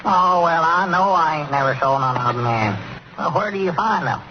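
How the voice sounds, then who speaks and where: Guy doing a highish swoopy southern hick, naive and excitable, old radio comedy, muffled. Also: normal speed, lightly acted. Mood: baffled. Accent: rural Southern US. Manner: hesitant, naive, swooping, folksy. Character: gullible, excitable married country bumpkin partner, man. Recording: muffled, noisy vintage radio recording.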